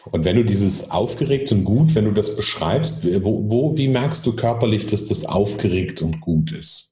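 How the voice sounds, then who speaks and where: A man's voice: fairly low pitch, animated, normal speed, darkish tone. A man hosting a podcast in a conversational way, repeating words as he feels for his phrasing, in an echoey recording. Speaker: podcast host. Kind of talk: conversational interviewing. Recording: roomy, echoey sound.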